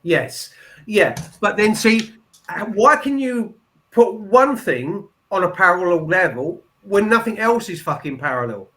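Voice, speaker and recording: A high, lively man hosting a rough-edged talk show. He swears freely, cuts across his guests and gets forceful when pushed. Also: measured pace. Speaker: host. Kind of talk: heated casual chat.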